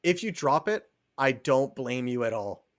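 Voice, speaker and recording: A man co-hosting a podcast, chatting casually about games in an informal, halting way full of fillers. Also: mid-range voice, sing-song, fairly bright tone. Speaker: podcast co-host.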